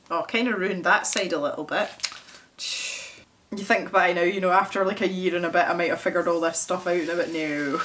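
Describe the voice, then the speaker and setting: A woman's voice: fairly low pitch, a bit bright, a little hiss or hum. A young Scottish woman chatting casually as she paints, thinking aloud and poking fun at herself. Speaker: art channel host. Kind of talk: casual chat while painting.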